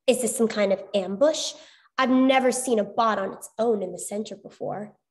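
A woman's voice: mid-range voice, normal speed, natural voice. A woman voicing a resolute, questioning female adventurer, the heroine of a story. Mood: wary.

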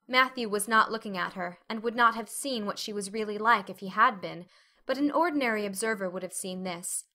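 A woman's voice: medium pitch, sharp tone. A woman reading a classic novel aloud as an audiobook narrator, in a scripted, dramatic storytelling style.

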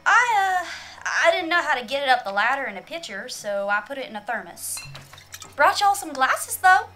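Casting: actress as folksy, nosy Southern US woman, high bright voice, radio drama, faint noise behind. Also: sing-song, normal speed, full character voice. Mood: chatty, curious. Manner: folksy, chatty. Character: folksy, chatty, nosy small-town woman bounty keeper.

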